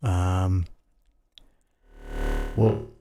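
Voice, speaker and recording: A man hosting a casual live software demo, thinking aloud in a halting way and muttering test counts as he troubleshoots. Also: sits low, little pitch movement, over music. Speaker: live stream host and audio tutor.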